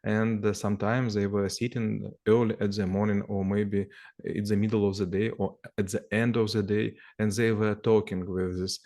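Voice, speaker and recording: Middle-aged man, low and gravelly, halting and word-searching. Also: level tone, a little mellow. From former Soviet Union. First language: Russian. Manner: halting, searching for English words, many fillers and restarts. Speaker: guest answering questions. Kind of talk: casual conversation in a second language.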